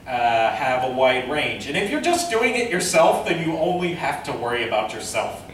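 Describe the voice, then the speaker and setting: A man presenting an informal convention panel, speaking haltingly as he thinks aloud. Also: medium pitch, very animated, fairly bright tone. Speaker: panel presenter, amateur director and voice actor. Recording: echoey room from far off, faint noise.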